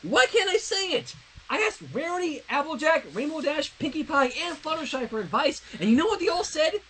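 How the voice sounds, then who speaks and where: Man putting on a flustered, high-pitched young princess. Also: sing-song, fairly quick, fairly bright tone, slight background noise, exaggerated voice. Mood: frantic, exasperated. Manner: rambling, anxious, earnest. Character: flustered young female pony princess turned human, nervously confessing love. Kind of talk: dramatic fanfiction reading.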